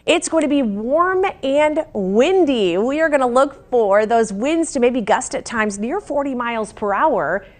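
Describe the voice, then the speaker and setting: An American woman presents live on a television newscast. Her voice sits on the high side, and she talks through forecasts and news items as a broadcast presenter. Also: expressive, unhurried, few pauses.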